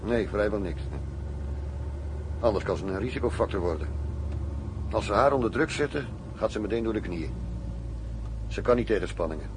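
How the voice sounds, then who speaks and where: A male actor gives us a low-pitched, middle-aged investigator, cynical and sure of himself. He talks bluntly and assertively. The radio drama sits over distinct background noise. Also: expressive, moderate pace, pauses often, close to an everyday voice. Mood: matter-of-fact. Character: cynical, decisive undercover police investigator, married man. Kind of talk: Dutch radio play acting.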